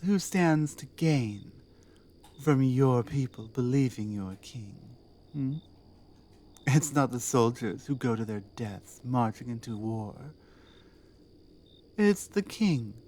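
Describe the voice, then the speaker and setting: A woman playing a proud, battle-hardened orc queen in a scripted ASMR roleplay, speaking deliberately with commanding, pointed rhetorical phrasing. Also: low voice, dark tone, exaggerated voice.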